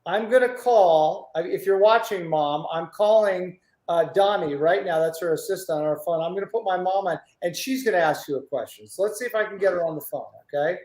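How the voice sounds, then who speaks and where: Male host, high-pitched, excitable and stammering, casual interview chat, roomy echo. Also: brisk, hardly any pauses. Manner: stammering, repeats words, excitable. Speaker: talk show host. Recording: roomy, echoey sound.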